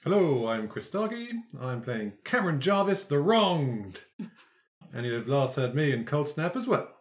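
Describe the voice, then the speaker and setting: A man's voice: fairly high pitch, sing-song, a little slow. A man talking casually as a player on a role-playing podcast panel. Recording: roomy, echoing sound.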